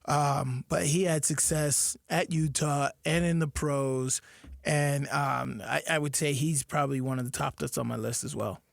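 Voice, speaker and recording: A man's voice: on the high side, fairly even intonation, measured pace, creaky, dry recording, studio quality. A man who played football, chatting casually about players and rambling with many fillers. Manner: rambling, lots of fillers and restarts. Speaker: former football player, co-host. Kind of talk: casual sports chat.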